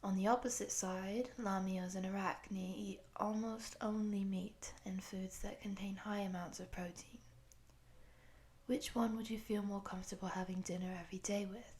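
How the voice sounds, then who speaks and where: A woman playing a polite, earnest program interviewer in a scripted roleplay, speaking softly and breathily in a measured, formal, official manner. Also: medium pitch, steady tone, close to an everyday voice. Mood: polite, explanatory. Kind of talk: soft-spoken scripted roleplay audio.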